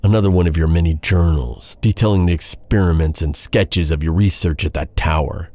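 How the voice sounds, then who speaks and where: An actor playing an embittered, vengeful knight in a dark fantasy audio roleplay, brooding and intense, with halting dramatic delivery. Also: male voice, low-pitched, no echo, natural voice. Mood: grave, restrained. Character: vengeful, embittered male knight interrogating his captive enemy.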